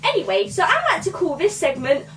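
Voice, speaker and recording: A woman's voice: very high voice, wildly swooping pitch, brisk, a bit bright, soft background music. A young woman doing a comic monologue in a slangy, swaggering tough-kid persona, filmed in a small bedroom from a camera a few metres away. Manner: slangy put-on tough-kid swagger, sing-song chants. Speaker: comedy vlogger. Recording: distant mic in a small room.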